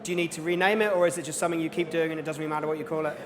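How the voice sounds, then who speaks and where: A man's voice: a little high, fairly even intonation, racing. A man moderating a conference Q&A in an easygoing, casual way. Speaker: event moderator. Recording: reverberant hall, faint noise.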